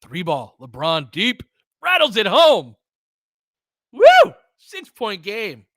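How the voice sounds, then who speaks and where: A man's voice: sits high, huge pitch swings, takes it slowly, a bit bright, no echo, studio quality. A man giving live basketball play-by-play with informal, slangy fan reactions mixed into casual chat. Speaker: host of a fan play-by-play stream.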